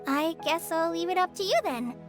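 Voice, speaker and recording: A young girl training as a forest ranger in an anime game. Her voice is very high, soft and lively in pitch, with a polite, earnest and slightly hesitant manner. Music plays behind her. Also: moderate pace. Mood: helpful. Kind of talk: anime-style game voice acting.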